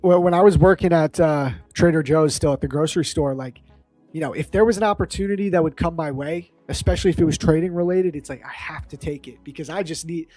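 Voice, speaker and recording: A man's voice: fairly high pitch, quick pace, dark tone, no echo, faint music behind. A man of thirty-one in casual chat as a stream co-host, informal and agreeable with easy slang. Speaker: live trading stream co-host.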